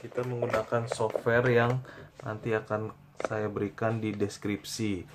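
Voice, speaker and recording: An Indonesian man presenting a step-by-step software tutorial in a plain, instructional way, pausing between short phrases. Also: fairly low pitch, completely flat delivery, background noise.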